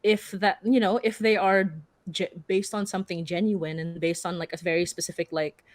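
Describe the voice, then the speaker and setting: A woman with a lowish voice speaks casually as a panelist. She is earnest and informal as she explains her thinking, and the sound is dry with very little room on it. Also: level tone, normal speed, no echo.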